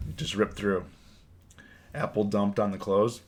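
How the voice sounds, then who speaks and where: Man, hoarse from a cold, lowish voice, casual live stream host, rambling, repeats words. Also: flat delivery, unhurried, a little mellow. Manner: rambling, repeats words, reads chat aloud. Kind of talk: casual live stream chat about trading.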